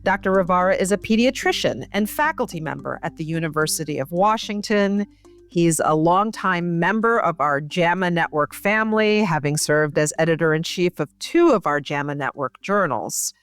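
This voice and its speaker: Clear-voiced American woman hosting an interview. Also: on the low side, expressive, normal speed, soft background music. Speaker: podcast host and journal editor-in-chief. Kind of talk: hosted interview, conversational.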